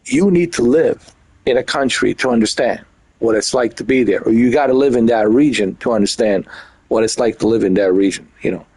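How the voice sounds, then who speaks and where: A man's voice: brisk, a little mellow, husky, no room sound. A man in his fifties, originally from Europe, chatting loosely and repetitively while streaming a game. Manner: loose and repetitive, full of "like" and "dude"; mimics others in a high mocking voice. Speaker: gaming streamer. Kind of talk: casual rambling chat.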